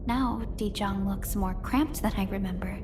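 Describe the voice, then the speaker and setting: A woman playing a composed, earnest young company supervisor in a video game, quietly caring, with a measured, polite and softly reserved manner. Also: medium pitch, noisy background, close to an everyday voice. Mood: reflective, fond. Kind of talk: scripted video game character voice lines.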